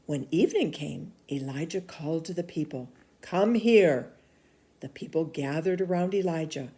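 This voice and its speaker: A woman reading a children's storybook aloud in an expressive, animated way, dropping to hushed asides. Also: sits low, brisk. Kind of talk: read-aloud of a children's book.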